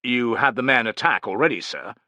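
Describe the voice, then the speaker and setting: Male narrator as veteran Roman centurion, gruff, blunt, terse, dutiful, tense delivery, audiobook drama. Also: mid-range voice, animated, a little fast, pushed and bright, no room sound, pitched above the performer's own voice. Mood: businesslike. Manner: terse, restrained, respectful to superiors. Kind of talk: dramatic audiobook character acting.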